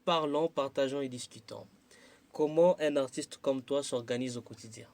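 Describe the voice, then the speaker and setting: A man's voice: mid-range voice, normal speed, darkish tone. A man hosting a radio interview who asks his questions in formal announcer phrasing. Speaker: radio host.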